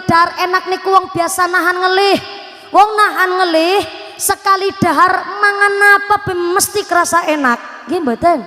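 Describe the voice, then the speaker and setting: A woman preacher with a Banyumas Javanese accent, giving a joking, lively sermon and teasing the crowd. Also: extremely high pitch, big-room sound. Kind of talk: lively comic Islamic sermon.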